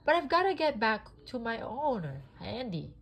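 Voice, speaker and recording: A woman's voice: fairly high pitch, big pitch swings, moderate pace, gravelly, a bit bright, pitched above the performer's own voice, full character voice. A woman voicing an earnest, bewildered male cowboy doll in a storybook read-aloud, with a questioning manner. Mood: worried.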